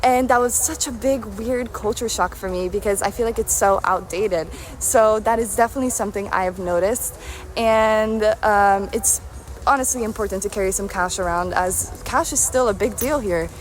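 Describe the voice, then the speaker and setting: A woman's voice: mid-range voice, moderate pace. An American woman presenting a countdown list, earnest and leaning hard on "definitely". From United States. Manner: earnest, repeats "definitely" often, scripted list. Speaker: video presenter.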